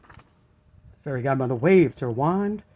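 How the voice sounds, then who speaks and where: A man reading a children's story aloud as an expressive narrator. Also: medium pitch, slow pace, dull audio.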